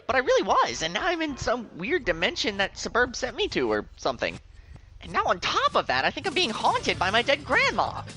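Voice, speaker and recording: An actor playing an excitable, worried, good-natured teenage boy who talks in exclamatory casual slang in a web audio drama. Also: extremely high pitch, fairly quick, soft background music, full character voice, low-quality recording. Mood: exasperated.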